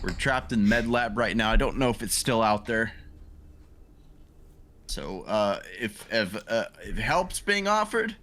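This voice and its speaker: A man playing a tabletop roleplaying game, speaking for his character in halting, careful phrases. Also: fairly low pitch, expressive, fairly bright tone. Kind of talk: casual in-character game talk.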